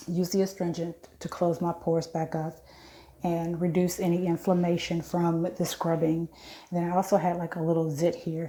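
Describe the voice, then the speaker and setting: A woman nearing fifty talks through her face-cleansing routine in a low, mellow voice. She speaks evenly without much rise and fall, chatty and frank, stopping to correct herself mid-sentence. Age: 40s. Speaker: beauty vlogger. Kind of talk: casual skincare walkthrough.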